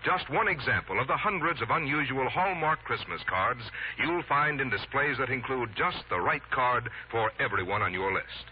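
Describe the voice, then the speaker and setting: A slightly noisy, muffled old radio recording presents a male announcer. His mid-range voice rises and falls in an animated way. He reads scripted copy with the polished, persuasive delivery of a 1940s commercial. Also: mid-range voice. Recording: muffled old radio broadcast, faint noise.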